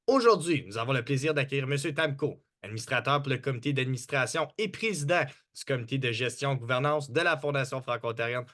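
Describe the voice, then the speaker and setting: A man's voice: medium pitch, animated, a little fast, hardly any pauses, fairly bright tone. A man hosting a podcast, asking polite, structured interview questions. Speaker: podcast host.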